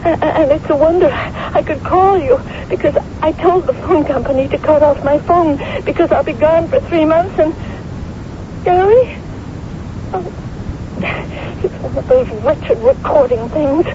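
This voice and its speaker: An actress playing a scatterbrained, panicky, babyish diva in an old-time radio drama, flustered, stammering and whiny. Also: female voice, high voice, unhurried. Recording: noisy, muffled old radio broadcast recording.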